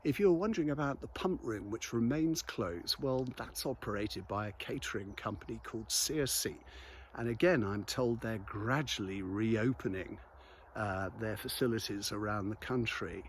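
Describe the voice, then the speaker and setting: Older man, lowish pitch, casual vlog monologue, halting and reflective, outdoor ambient noise. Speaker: local video blogger and commentator. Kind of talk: casual spoken monologue to an audience while out walking.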